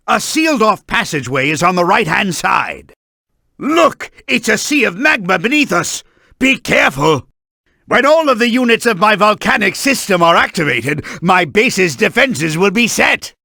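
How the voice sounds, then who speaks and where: A bombastic video game mad scientist with a high, bright, gravelly voice. He is pompous and scheming, and his theatrical delivery swings widely in pitch. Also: no echo, full character voice. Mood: alarmed. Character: bombastic mad scientist villain, boastful, scheming robot builder. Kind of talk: video game character voice lines.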